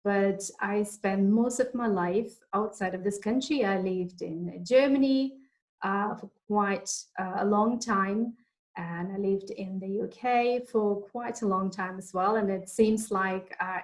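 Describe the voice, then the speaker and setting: A woman's voice: medium pitch, a little mellow, rough recording. Woman from kazakhstan, mom, casual interview talk, lots of uh and um. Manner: halting, with many fillers and restarts. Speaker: interviewee, mother and online entrepreneur. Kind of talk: casual video chat interview.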